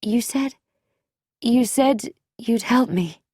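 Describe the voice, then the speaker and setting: A woman's voice: medium pitch, slow, mellow, husky, no echo, studio quality, voice pushed up. A woman voicing a frightened, desperate young wife in a mystery story, hesitant and pleading.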